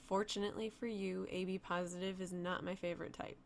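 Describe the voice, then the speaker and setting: A woman voicing a young female vampire who speaks in a calm, matter-of-fact way with a teasing edge. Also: lowish voice, brisk, creaky, a little hiss or hum. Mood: menacing.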